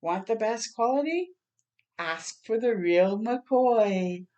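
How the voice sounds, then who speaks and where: A woman's voice: a little high, slow, lo-fi sound. A woman reading a picture book aloud to children as a storyteller, expressively, with asides to her listeners. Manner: expressive, acting out sound words and exclamations.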